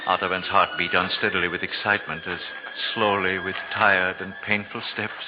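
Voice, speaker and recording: A man narrating a 1940s radio drama in a solemn, theatrical, measured way with dramatic pauses. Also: sits low, flat delivery, lightly acted. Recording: muffled 1940s radio recording. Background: music.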